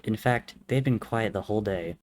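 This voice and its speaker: A man's voice: on the low side, fairly quick, slight background noise. A man reading fiction as a dramatic, scripted narrator. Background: soft music or ambience.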